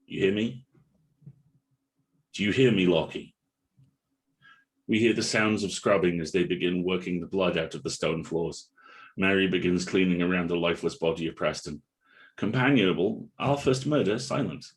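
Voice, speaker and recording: A man's voice: deep voice, moderate pace, pauses often. A man reading dramatic scripted narration and stage directions as the narrator of a script read-through.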